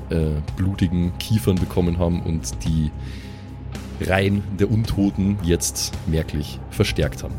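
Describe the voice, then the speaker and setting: A man improvising descriptive horror narration as game master, feeling out his phrases as he goes. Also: deep voice. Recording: loud music behind the voice. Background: music.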